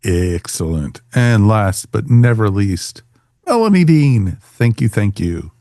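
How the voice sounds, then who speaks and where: Man, podcast host, fairly low pitch, casual chat, informal delivery, dry sound. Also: very animated, takes it slowly, mellow, dry recording. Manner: chatty, informal.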